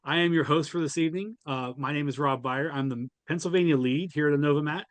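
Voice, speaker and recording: A man's voice: a little high, quick pace, no room sound. A man hosting an online webinar, informally introducing guests and putting questions to them. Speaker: webinar host, podcast co-host.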